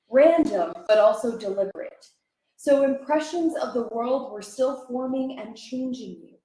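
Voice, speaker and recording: A woman, an actor, giving a dramatic reading of poetry with measured, expressive phrasing. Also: high voice. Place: indoor library room at a live event. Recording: echoey room.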